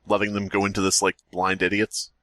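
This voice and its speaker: A man's voice: deep voice, completely flat delivery, brisk, bright, forceful tone, no echo. A man co-hosting a podcast with snarky, irreverent, casual banter and frequent interjections. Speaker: podcast co-host.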